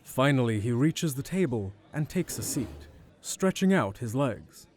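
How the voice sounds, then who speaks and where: A man's voice: medium pitch, sing-song, measured pace, darkish tone. Man narrating an audio drama. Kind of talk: scripted narration.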